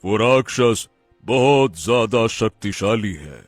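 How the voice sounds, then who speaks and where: A man playing a solemn wandering holy sage in an animated moral story, speaking in measured, weighty pronouncements. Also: on the low side, no echo, soft background music. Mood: solemn regret.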